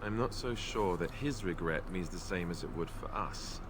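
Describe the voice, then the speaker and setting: A man's voice: low voice, moderate pace, noisy background, natural voice. An actor playing a wary, gossipy young male companion in a fantasy video game, conversational and wry. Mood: doubtful, wry.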